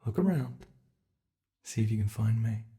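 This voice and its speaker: A man voicing a gleeful, menacing, centuries-old monster under the bed in an ASMR horror roleplay, teasing in a whispery way with drawn-out words. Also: fairly low pitch, expressive, dark tone, natural voice. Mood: teasing, sinister.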